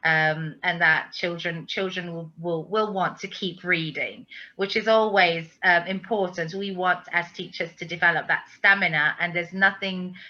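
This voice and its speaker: Bright, forceful woman interviewer. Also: lowish voice, steady tone, normal speed, hardly any pauses, lo-fi sound. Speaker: interviewer and teacher. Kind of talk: book talk interview.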